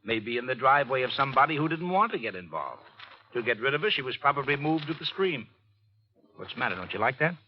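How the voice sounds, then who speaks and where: An actor playing a brisk, offhand police lieutenant in a 1950s radio drama, blunt and businesslike. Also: male voice, mid-range voice, very animated, slight background noise, close to an everyday voice. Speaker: supporting actor. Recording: muffled old-time radio recording.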